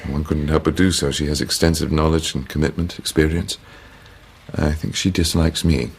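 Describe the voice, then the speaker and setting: A man's voice: extremely low pitch, level tone, moderate pace, gravelly, husky, exaggerated voice. An actor playing a charming, troubled male psychiatrist with an English accent, educated and courteous, in a period radio drama. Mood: wry, engaged.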